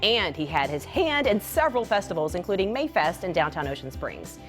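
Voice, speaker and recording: A woman hosting an interview show, asking her questions in an enthusiastic and expressive way. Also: on the low side, fairly quick, faint music behind. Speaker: show host.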